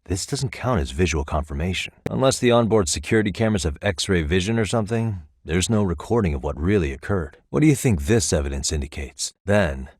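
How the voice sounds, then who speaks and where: An American man voicing a level-headed, thoughtful detective in a video game, with measured, articulate delivery as he reasons aloud. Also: low-pitched, animated, darkish tone, husky, no room sound, clean studio sound. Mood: explaining, focused. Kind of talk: scripted game dialogue.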